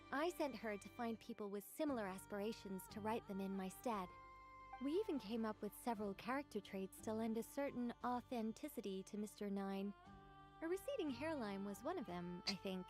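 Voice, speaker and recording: A woman's voice: medium pitch, a little mellow, lightly acted. A woman voicing a composed, witty woman author in a video game, speaking articulately with a conversational, lightly teasing manner. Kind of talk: scripted game dialogue.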